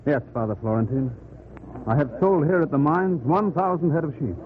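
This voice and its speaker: An actor playing a proud, guarded colonial trader, speaking curtly in 1940s radio drama style. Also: male voice, fairly high pitch, lively intonation, normal speed, phone-quality sound, lightly acted. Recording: noisy, thin 1940s radio recording.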